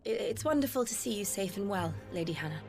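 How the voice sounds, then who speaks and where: Woman playing gentle, mid-pitched young noblewoman. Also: expressive, fast, natural voice. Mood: polite, caring. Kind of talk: cinematic game voice acting. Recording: music behind the voice. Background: music.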